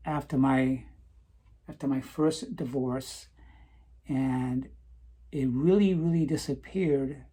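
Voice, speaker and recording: Slow, husky-voiced middle-aged woman. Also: very deep voice, warm tone. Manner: slow and hesitant, restarts words, many pauses with um. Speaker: vlogger. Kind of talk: personal confessional monologue.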